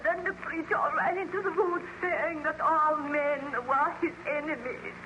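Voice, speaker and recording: An actress playing a frightened, grieving peasant widow in an old-time radio drama, with melodramatic delivery. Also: female voice, sits high, steady tone, unhurried. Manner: melodramatic, fearful. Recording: muffled, very noisy old radio recording.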